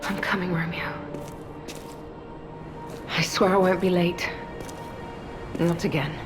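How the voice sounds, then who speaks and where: A woman voicing an anguished, devoted game heroine who pleads with urgent, breathy resolve. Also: fairly low pitch, steady tone, slow, over music. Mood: anguished, determined. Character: anguished, devoted woman haunted by past failure. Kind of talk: video game voice acting.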